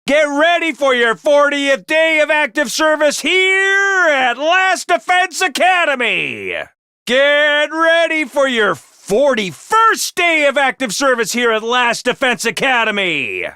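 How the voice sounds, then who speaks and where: High squeaky mascot critter, bouncy and bright, fake-cheery and kinda nasty, dry studio sound. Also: male voice, clean tone, dry recording, full character voice. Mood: announcing. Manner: cheery announcer voice turning snide and callous. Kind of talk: video game voice lines.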